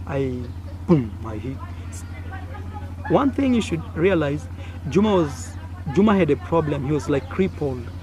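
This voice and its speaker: A young man with a Kenyan accent, Swahili his first language, telling a childhood story to camera in a casual, animated way, acting out sounds and gestures. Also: a little high, unhurried, long pauses. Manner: animated, acts out sounds and gestures with frequent fillers. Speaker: content creator. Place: outdoors in a village. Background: faint village noise.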